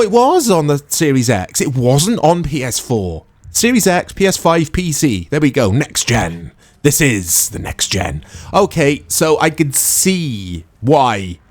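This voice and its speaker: A man's voice: on the high side, darkish tone, no room sound. A man giving casual, sarcastic gameplay commentary, chatting and laughing at himself as he plays. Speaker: let's-play commentator.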